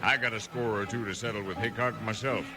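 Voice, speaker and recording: An actor playing a scheming, folksy town gang boss with a Western drawl in a 1950s radio western. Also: male voice, on the low side, fairly even intonation, quick pace, music in the background, close to an everyday voice. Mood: conspiring. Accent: American Western drawl. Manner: folksy, insinuating.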